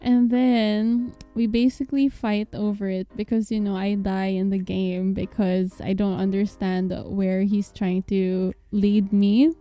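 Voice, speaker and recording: A woman's voice: mid-range voice, flat delivery, measured pace, few pauses, warm tone, soft background music. A young woman, a Tagalog speaker, co-hosting a casual podcast with playful, giggly banter. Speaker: podcast co-host.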